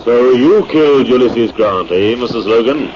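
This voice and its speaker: A man's voice: mid-range voice, animated, moderate pace, lightly acted. A man acting a stern, mocking invisible avenger in a 1940s radio drama, with an Australian accent. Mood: mocking, accusing. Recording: dull, noisy 1940s radio recording.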